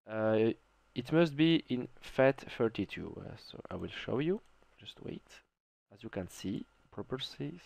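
A young man from Belgium whose first language is French, walking through a console tutorial in halting English with frequent restarts and self-corrections. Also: mid-range voice, darkish tone. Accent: French-speaking Belgian. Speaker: tech tutorial maker. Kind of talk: screen-recorded how-to walkthrough.

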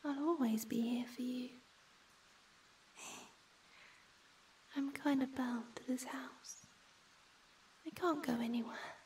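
Casting: female performer for a shy young female ghost, high, mellow whisper, tender and hesitant, ASMR roleplay. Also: steady tone, takes it slowly, pauses often, distant mic, a little hiss or hum, poor audio. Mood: shy, affectionate. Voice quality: soft, breathy, whispery.

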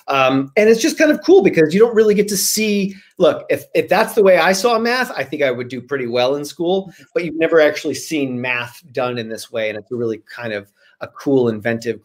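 An American man in his forties talks as a guest author on a video call. He is animated and playful, telling things casually in a loose, rambling way. His pitch sits in the middle range for a man. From Rhode Island, United States. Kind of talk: casual storytelling in an online interview. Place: small home room, indoors. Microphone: computer mic on a video call.

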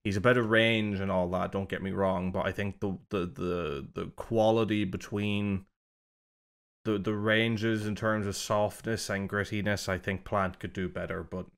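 Man, lower-pitched voice, casual reaction commentary, critical, rambling, restarts sentences. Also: steady tone, unhurried. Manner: rambling, self-interrupting, critical. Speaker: music reaction video host.

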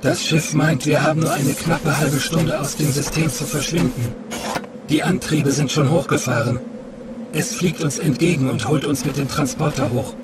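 An actor playing a kindly, seasoned old male companion, calm and reassuring, in an audio drama. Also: a little high, moderate pace, natural voice. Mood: calm, encouraging. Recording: loud ambient noise behind.

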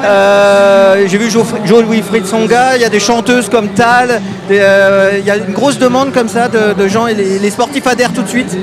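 A man's voice: high-pitched, fairly even intonation, moderate pace. A man hosting a live talk segment, talking with many fillers and restarts into a handheld mic in a large indoor arena. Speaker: host. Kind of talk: live talk show interview. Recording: music and crowd noise behind.

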